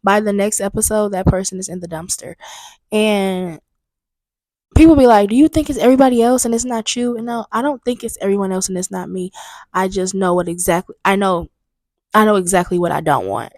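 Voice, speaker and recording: A woman's voice: medium pitch, no room sound. Young woman chatting solo on her podcast, kinda stuffy, venting, super dry close sound. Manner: candid, chatty, venting with emphatic repetition. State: slightly stuffy. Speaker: podcast host. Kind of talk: solo casual podcast talk. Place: booked studio room.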